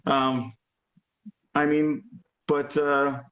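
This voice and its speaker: A man's voice: fairly high pitch, flat delivery, extremely slow, fairly bright tone, dull audio. A man in his forties, a guest drummer chatting casually, rambling with lots of "you know" and "like". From Alberta, Canada. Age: 40s. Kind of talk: casual chat. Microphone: video call.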